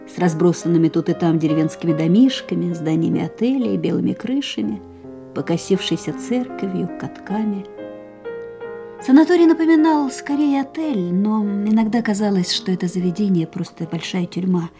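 A woman's voice: on the low side, normal speed, warm tone, natural voice. Actress as a pensive sanatorium woman over piano. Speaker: actress and narrator in a radio play. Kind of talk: literary radio drama narration. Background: piano music.

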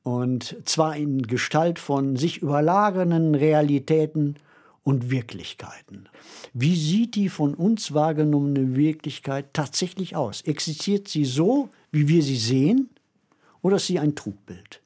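Male co-host with a rough-edged, breathy mid-range voice, musing and emphatic while presenting conversationally. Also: a little high, lively intonation, a little slow, darkish tone. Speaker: podcast co-host. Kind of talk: conversational presenting of radio plays.

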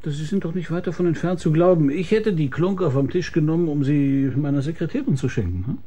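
An actor playing a cynical, world-weary investigator who narrates a radio drama in a dry, laconic, husky voice. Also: male voice, on the high side, brisk, dark tone, close to an everyday voice. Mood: sardonic. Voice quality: gravelly, husky. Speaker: narrator and lead character. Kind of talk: hard-boiled radio drama narration and dialogue.

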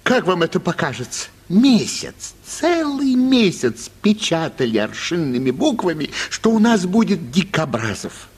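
Melodramatic theatre boss for a radio play, creaky high man's voice, slow and declaiming, poor-me wailing. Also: sing-song, exaggerated voice. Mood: indignant, scandalized. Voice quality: raspy, creaky. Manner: declamatory, wailing, breast-beating exclamations. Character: histrionic, self-pitying theatre impresario, a born tragedian. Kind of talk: Russian radio play acting of a classic story.

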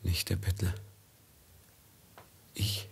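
An actor in a German radio drama playing a brooding, fearful merchant, speaking in a hushed, poetic, ominous manner. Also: male voice, very slow, in a whisper. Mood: haunted, fearful. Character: brooding, fearful merchant haunted by dark premonitions.

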